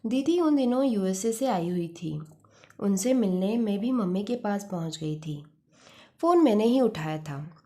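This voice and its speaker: Mellow woman storyteller. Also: medium pitch, expressive, a little slow. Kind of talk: scripted short story narration, audiobook style.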